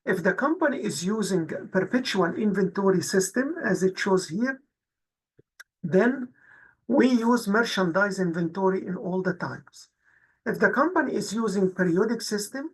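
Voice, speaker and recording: A woman instructor with a husky voice, explaining worked exercises methodically in a tutorial narration. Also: mid-range voice, rough recording. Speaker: accounting instructor.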